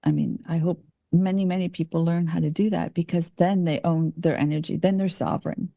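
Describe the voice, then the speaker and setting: A woman hosting a podcast, her voice mellow and warm. She talks casually and pauses to hunt for the right words as she builds her point. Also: fairly low pitch, steady tone, creaky. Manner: searches for words mid-thought. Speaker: podcast host. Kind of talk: casual conversational interview.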